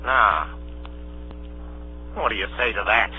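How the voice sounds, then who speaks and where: An actor playing a sly older pirate ringleader who wheedles and bullies in salty sailor talk, in old radio drama style. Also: male voice, lowish voice, expressive, slow pace. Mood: triumphant. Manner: wheedling then bullying, salty sailor idiom. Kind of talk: 1930s radio drama acting. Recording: dull old radio broadcast, music, hum.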